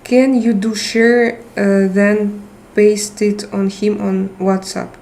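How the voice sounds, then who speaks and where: A middle-aged woman with a Russian accent chatting casually on a live stream, halting and restarting often as she searches for words. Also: medium pitch, flat delivery, darkish tone. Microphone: tablet microphone.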